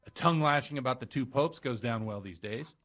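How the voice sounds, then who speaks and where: An actor playing a worldly, roguish village priest with a theatrical streak in a medieval video game. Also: male voice, mid-range voice, brisk, fairly bright tone, close to an everyday voice. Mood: chatty, amused. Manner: conversational, theatrical when preaching. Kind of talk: dramatic video game dialogue.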